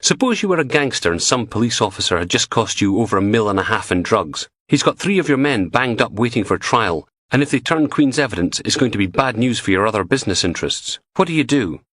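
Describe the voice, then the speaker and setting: A man's voice: medium pitch, very animated, fast, few pauses, a bit bright, dry recording, clean studio sound, pitched above the performer's own voice. A man voicing a weary male detective who explains things patiently.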